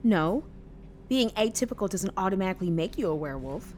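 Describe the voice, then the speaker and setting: A quirky young woman on a night bus as a woman acts her in an audio drama. She chatters with lively, swooping intonation, earnest and matter-of-fact however strange it gets. A faint rumble sits behind her. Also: brisk, lightly acted. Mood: earnest, conspiratorial. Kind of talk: audio drama acting, conversational. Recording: faint background noise with rumble.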